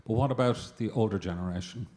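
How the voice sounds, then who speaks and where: Deep-voiced older man asking a question. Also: fast, a little mellow. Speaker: audience member. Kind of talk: question from the floor.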